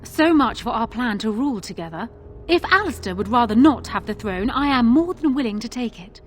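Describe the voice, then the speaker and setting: A woman performs a self-assured fantasy-game queen with a high-pitched voice. She speaks in formal, assertive, regal phrases, proud and shrewd, with faint music behind her. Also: lively intonation, brisk, lightly acted. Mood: cool, assertive. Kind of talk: video game voice acting.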